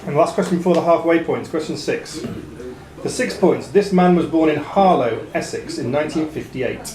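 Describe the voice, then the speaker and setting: Guy reading out pub quiz questions, measured and dry, highish voice, people chattering round him. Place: pub room during a live quiz. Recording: echoey pub room, chatter behind.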